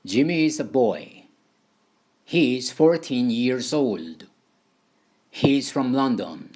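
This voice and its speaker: A man reading a scripted beginner language lesson as a teacher, deliberately delivering short example sentences phrase by phrase. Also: medium pitch, lively intonation, darkish tone. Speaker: English teacher.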